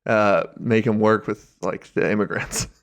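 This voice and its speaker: A man's voice: fairly low pitch, fairly even intonation, a little slow. A man chatting casually and jokingly as a podcast co-host, using slang and the odd swear word. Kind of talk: casual comedic chat.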